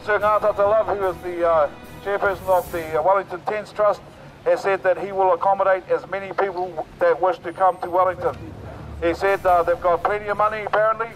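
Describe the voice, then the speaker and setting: Hoarse, rousing New male rally speaker, outdoors on a megaphone. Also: high voice, fairly even intonation, brisk, faint music behind, low-quality recording. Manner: rousing, repetitive, with fillers. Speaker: protest march speaker. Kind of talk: outdoor rally speech through a loudspeaker. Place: outdoors on a city street.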